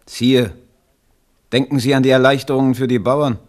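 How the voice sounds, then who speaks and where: An actor playing a sober, frank royal finance minister in a German radio drama. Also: male voice, medium pitch, little pitch movement, moderate pace, darkish tone, close to an everyday voice. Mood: sober, insistent.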